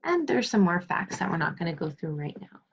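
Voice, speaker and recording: A woman's voice: lowish voice, big pitch swings, brisk, vocal fry, slightly rough. A woman teacher reading aloud and explaining to young children, asking questions and praising pupils as she goes. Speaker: second grade teacher. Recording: dull lo-fi sound.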